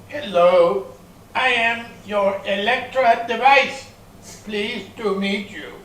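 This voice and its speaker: An amateur actor playing a literal-minded voice assistant device in readers theater, announcing slowly and deliberately. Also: medium pitch, a bit bright. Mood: flat, formal. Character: literal-minded, unhelpful smart speaker voice assistant. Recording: echoey room from far off, noisy.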